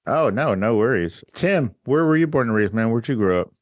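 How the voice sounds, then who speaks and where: A man's voice: medium pitch, animated, moderate pace, no room sound, lo-fi sound. A man hosting a podcast interview, casual and teasing in manner. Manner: joking, teasing, laid-back. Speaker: podcast host.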